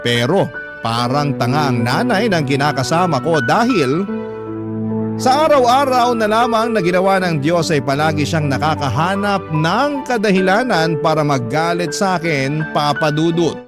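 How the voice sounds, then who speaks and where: Higher-pitched Filipino man narrating over music. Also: expressive, moderate pace. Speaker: radio drama narrator reading a letter. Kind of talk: dramatic scripted first-person narration. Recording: music bed under the voice. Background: background music.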